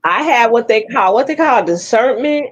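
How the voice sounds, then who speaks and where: Woman, late fifties, frank storyteller, slightly high voice, casual panel chat. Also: normal speed, muffled recording, slight background noise. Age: 50s. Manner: frank, animated storytelling with Southern-inflected slang. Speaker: panel co-host. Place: Oklahoma City.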